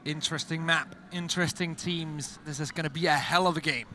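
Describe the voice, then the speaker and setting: Fast-talking Danish-accented man. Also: a little high, steady tone, noisy background. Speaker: esports caster. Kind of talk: esports commentary.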